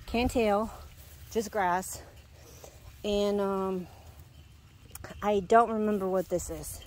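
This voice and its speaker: A woman with a stuffy, nasal voice from congestion, rambling and correcting herself as she walks through her home garden outdoors, filming with a handheld camera. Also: mid-range voice. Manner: rambling, self-correcting, sighing. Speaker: gardening vlogger. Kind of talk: casual garden walk-through. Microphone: handheld camera filming while walking.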